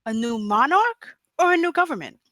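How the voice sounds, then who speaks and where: A woman's voice: high voice, very animated, normal speed, pushed and bright, no echo, clean studio sound, full character voice. A woman playing a stern, shrewd fairy godmother with a cool, theatrical manner in a table read. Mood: disapproving. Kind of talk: comedic screenplay table read.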